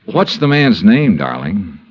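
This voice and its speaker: A wry, brisk investigator. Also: male voice, on the low side, very animated, husky, dry recording, heavily acted. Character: brisk, wisecracking male insurance investigator. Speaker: lead and narrator. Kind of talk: 1950s radio drama acting. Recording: muffled vintage radio with loud hiss.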